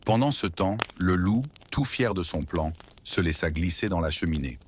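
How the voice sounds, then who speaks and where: Low-pitched man telling a children's tale. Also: moderate pace, heavy background noise. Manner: expressive, dramatic. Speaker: storyteller. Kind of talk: scripted children's story narration.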